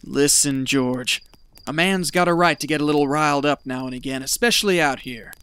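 A man's voice: on the high side, fairly quick, fairly bright tone, slight background noise. A man playing a stubborn, gold-hungry desert prospector with a folksy way of talking in an audio drama. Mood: exasperated. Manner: folksy, muttering to himself when alone.

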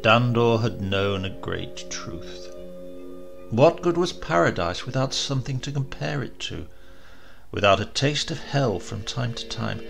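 A man narrates a science fiction horror tale in a slow, deliberate reading voice. His tone is gravelly, and music plays clearly beneath him. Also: medium pitch, expressive. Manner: slow, measured storytelling with gravelly tone. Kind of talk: audiobook narration.

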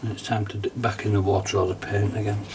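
A middle-aged man with a cold, sounding nasal, casually talking through a watercolour painting demonstration at his desk, relaxed and chatty with small laughs. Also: lowish voice, fast, creaky, far from the mic, background noise. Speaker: watercolour teacher. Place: painting desk at home, indoors.

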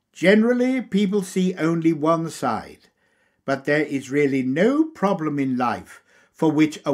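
Male audiobook reader for a lecture text: unhurried, measured delivery, slightly high pitch, animated intonation. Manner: deliberate, measured, emphatic phrasing. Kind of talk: scripted lecture reading.

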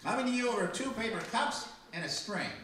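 A man's voice: very high-pitched, animated, fairly quick, background noise, pitched above the performer's own voice. Hammy older man as a wisecracking radio emcee, echoey. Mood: jokey, showy. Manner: hammy, joking, punchline delivery. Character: wisecracking male Bob Hope style show emcee. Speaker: master of ceremonies. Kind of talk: old-time radio variety show comedy. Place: small library room, live performance. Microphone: far camera. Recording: distant, very echoey room recording.

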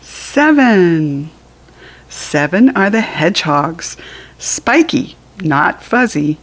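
An American woman reads aloud for young children at a very slow, deliberate pace. Her voice is fairly low and husky, with an encouraging, sing-song delivery that teaches as it goes. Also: very animated. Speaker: children's book reader and teacher. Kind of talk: read-aloud counting lesson for young children.

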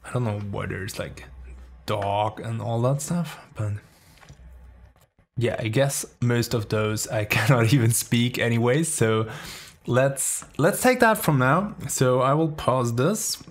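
A man in his thirties with a German accent, speaking at a middling pitch. He chats loosely through a home-studio tutorial and keeps padding his sentences with fillers. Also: takes it slowly. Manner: chatty, rambling, lots of "like" fillers. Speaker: music production tutor. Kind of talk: casual screen-recorded tutorial. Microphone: studio microphone near the face.

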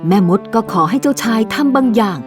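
A woman's voice: fairly high pitch, lively intonation, fast, music in the background. A woman giving scripted narration of a fairy tale for children, as a storyteller.

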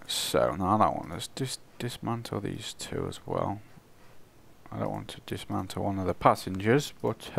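A man talks his way through a building game, thinking aloud and laughing at his own slip-ups. His voice sits a little low and is rough and gravelly, hoarse from a cold. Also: big pitch swings, measured pace, a bit bright. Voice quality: croaky, gravelly. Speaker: let's play host. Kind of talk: casual gameplay commentary.

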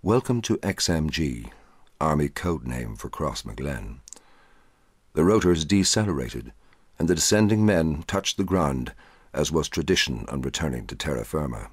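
A man reading a short story aloud in a measured, quiet storytelling voice. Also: very animated, husky, dry recording, clean studio sound. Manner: measured, quiet storytelling, dropping low for dialogue. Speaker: audiobook narrator. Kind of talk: scripted narration of a short story.

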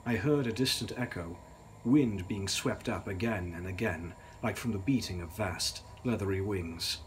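A young man giving a measured, theatrical reading of gothic fiction as its author. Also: low-pitched, slight background noise. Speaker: author reading own novel. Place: dark indoor room, on camera.

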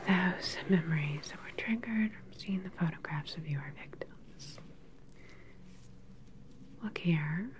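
A woman in her thirties playing a calm, sinister scientist in an ASMR sci-fi roleplay, whispering softly, close to the mic, with a clinical, condescending manner. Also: fairly low pitch, slight background noise. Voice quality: soft, breathy whisper. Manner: unhurried, clinical, quietly condescending. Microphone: close microphone, seated facing the camera.

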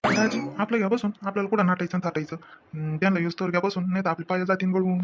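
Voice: mid-range voice, steady tone, very fast, muffled recording, slight background noise, natural voice. An actor playing a blunt, easily irritated village family man in a comedy drama, with a rural Maharashtrian Marathi accent. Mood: wry. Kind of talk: acted family comedy dialogue.

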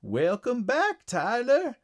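A man's voice: very high voice, wildly swooping pitch, slow, voice pushed up, exaggerated voice. Man as a mysterious talking frog, raised voice. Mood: mysterious, explanatory. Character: mysterious, wise telepathic poison dart frog.

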